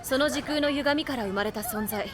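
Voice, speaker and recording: A woman's voice: sits high, brisk, crowd noise, lightly acted. A woman voicing an earnest young female attendant in an anime game, speaking in a formal, courteous, explanatory way. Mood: solemn, explaining. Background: game music.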